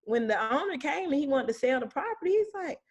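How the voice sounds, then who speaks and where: A woman's voice: high-pitched, big pitch swings, fast talker, dull audio. A woman speaking as a guest property manager, explaining conversationally with anecdotes in a plain-spoken, folksy way.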